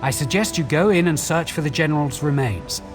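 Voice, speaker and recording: Fantasy game quest-giver, male actor: scholarly and wary, fairly high voice, formal measured explanations, light music underneath. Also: animated, close to an everyday voice. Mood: measured, explanatory. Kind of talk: video game dialogue, explanatory. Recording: faint music behind.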